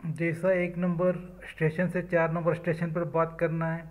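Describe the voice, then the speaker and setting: A man giving a step-by-step technical explanation as an electronics instructor, speaking in short, measured phrases. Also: on the high side, completely flat delivery, rough recording.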